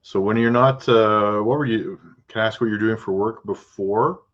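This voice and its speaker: A man with a very deep voice hosts a podcast interview and keeps the chat loose and informal. He often restarts his questions and drops in short "okay"s and "yeah"s. The sound is muffled and a bit dull. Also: fairly even intonation. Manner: chatty, restarts his questions, quick acknowledgments. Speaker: podcast host and realtor. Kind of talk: casual interview.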